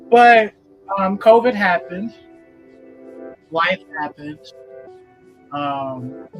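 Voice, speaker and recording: A man's voice: on the high side, rough recording. A man in his thirties hosting a casual livestream talk, reflective and earnest, often checking in with the audience. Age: 30s. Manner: reflective, earnest, rhetorical "okay?" check-ins. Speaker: livestream host.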